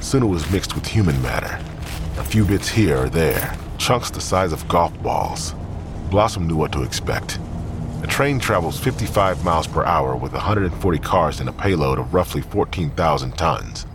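A man's voice: rumbling low, expressive, normal speed, noisy background. A man reading scripted crime fiction as the narrator.

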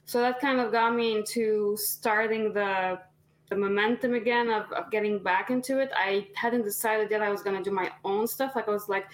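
A woman's voice: medium pitch, fairly even intonation, normal speed, low-quality recording. Chatty young woman, singer guest. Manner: chatty, many fillers and restarts. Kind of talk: casual podcast interview.

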